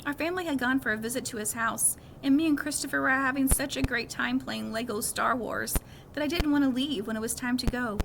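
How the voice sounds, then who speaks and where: A woman's voice: high-pitched, fairly quick. A woman reading a children's novel aloud as a first-person story. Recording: clearly noisy background.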